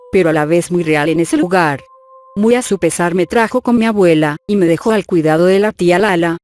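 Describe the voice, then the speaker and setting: A narrator giving a steady, measured reading of a scripted horror story. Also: medium pitch. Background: faint music.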